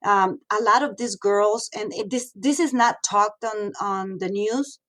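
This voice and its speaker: A woman's voice: medium pitch, unhurried, a bit bright. A woman in her thirties, originally from Mexico, talking frankly in a casual interview, with many fillers and restarts. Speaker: guest, radio host and model.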